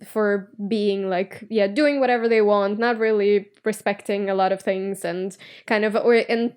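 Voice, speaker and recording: A woman's voice: medium pitch, fairly even intonation, measured pace, a little mellow, clean tone. A woman who grew up in Spain, a guest in a casual interview, who talks in a chatty, opinionated way. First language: Russian.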